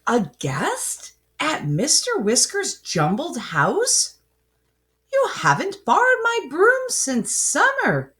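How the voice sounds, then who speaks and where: A woman's voice: high-pitched, huge pitch swings, slow, fairly bright tone, pitched above the performer's own voice, heavily acted. A woman voicing an incredulous, chiding old grandmother in a storybook.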